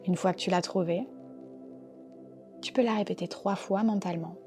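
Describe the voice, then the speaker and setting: A woman with a soft, dark-toned voice leads a guided yoga nidra session. Her delivery is calm and gentle, giving slow, careful instructions. Faint music plays behind her. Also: lowish voice. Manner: calm, gentle, unhurried guiding. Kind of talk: guided relaxation meditation. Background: soft background music.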